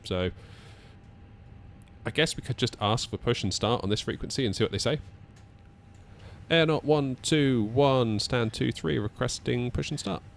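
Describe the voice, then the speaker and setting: A man's voice: mid-range voice, a little slow, vocal fry, long pauses. A man giving casual flight simulator commentary, thinking aloud in a chatty way as he hosts. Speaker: flight simulator video host. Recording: faint background noise.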